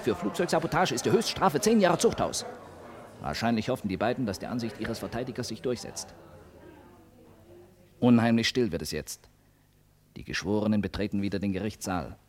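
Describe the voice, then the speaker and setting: An actor playing a dramatic courtroom reporter in a radio play, solemn and declamatory, with a crowd murmuring behind him. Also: male voice, fairly low pitch, lightly acted. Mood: hushed, solemn. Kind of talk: scripted live-report narration in radio drama.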